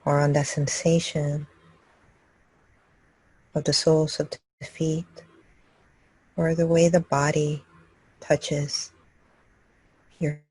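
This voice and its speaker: Husky-voiced woman leading a calm guided meditation. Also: low voice, dry recording, rough recording. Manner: calm, unhurried, with long pauses between phrases. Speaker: meditation teacher leading a live group session. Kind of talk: guided meditation, slow and gentle instruction.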